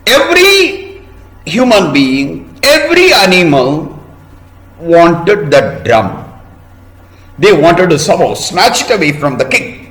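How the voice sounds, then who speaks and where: A man telling a story to children in a teacherly way, pausing to ask questions and explain words. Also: fairly high pitch, huge pitch swings, fairly bright tone, roomy sound, poor audio. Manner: didactic, asks listeners questions and explains words.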